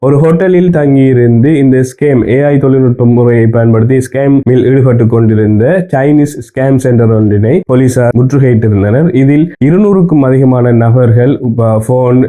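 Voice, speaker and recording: Very dark-voiced man, Sri Lankan Tamil accent. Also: mid-range voice. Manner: formal, methodical explaining. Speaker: presenter of an explainer report. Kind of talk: scripted news explainer narration.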